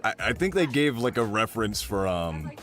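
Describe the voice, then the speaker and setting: Animated, low-voiced young American man. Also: moderate pace, soft background music. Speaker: voice actor being interviewed. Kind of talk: casual interview chat.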